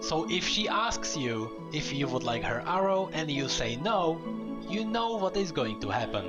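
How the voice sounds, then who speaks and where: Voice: medium pitch, sing-song, normal speed, highs cut off, over music. A lore video presenter explaining things in a conversational way directly to the viewer.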